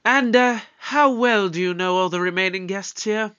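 Brisk, authoritative space detective in an audio drama. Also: mid-range voice, sharp tone, smooth, dull audio, close to an everyday voice. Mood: brisk, probing.

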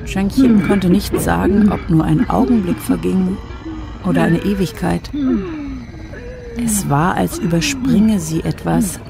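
A woman's voice: on the low side, lively intonation, a little slow, gravelly, over music. A woman narrating a radio drama from a script.

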